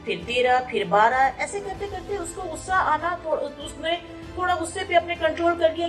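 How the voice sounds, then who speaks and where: High-voiced woman telling a moral story. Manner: conversational, mixes English words into Hindi, frequent hesitations. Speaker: motivational speaker.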